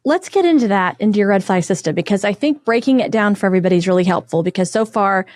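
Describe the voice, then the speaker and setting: A woman's voice: medium pitch, lively intonation, fast, darkish tone, dry recording. A woman co-hosting a podcast, speaking casually as an interviewer.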